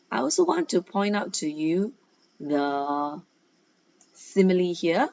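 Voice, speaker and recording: Female teacher for guided reading lesson: very slow pace, instructive questioning, emphatic key words, slightly muffled lo-fi recording. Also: lowish voice, darkish tone. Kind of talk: guided read-aloud lesson with questions and explanations. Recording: dull, lo-fi sound.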